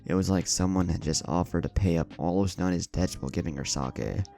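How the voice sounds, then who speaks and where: Very deep, gravelly male story narrator. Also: brisk, mellow, faint music behind. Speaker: fan fiction narrator. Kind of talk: scripted story narration with character dialogue.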